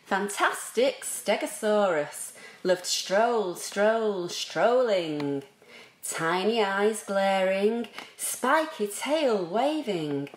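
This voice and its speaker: A woman reading a children's picture book aloud with expressive, drawn-out phrasing. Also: mid-range voice. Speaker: school staff reader. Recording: roomy, echoey sound.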